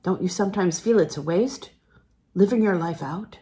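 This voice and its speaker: A woman's voice: fairly low pitch, moderate pace, mellow, close to an everyday voice. A woman reading the part of an affectionate, playfully teasing man in a storybook novel.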